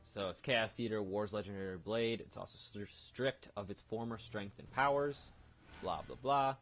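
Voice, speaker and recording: A man's voice: fairly low pitch, normal speed. A man hosting a gaming video with casual, explanatory commentary and rambling asides. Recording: loud background noise.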